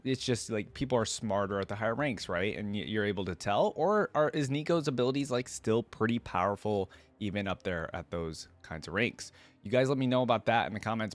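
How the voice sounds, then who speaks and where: A man hosting a reaction video, chatting casually and rambling his thoughts to viewers on a close mic. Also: on the low side. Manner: rambling, musing, addresses viewers.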